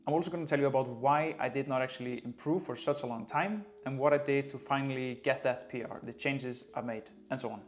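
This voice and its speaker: A man in his late twenties from Iceland, a running coach speaking English with an Icelandic accent in a relaxed, conversational vlog. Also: mid-range voice, fairly quick, few pauses, a little hiss or hum. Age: late 20s. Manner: relaxed, conversational, light laughs. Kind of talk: casual vlog talk to camera.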